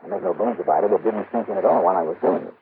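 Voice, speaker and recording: A man's voice: deep voice, very fast. An American actor playing a wry, hard-boiled male insurance investigator in a classic radio drama, talking colloquially. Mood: matter-of-fact. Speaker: lead actor of a detective series. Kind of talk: classic radio detective acting. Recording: narrow-band, noisy old radio recording.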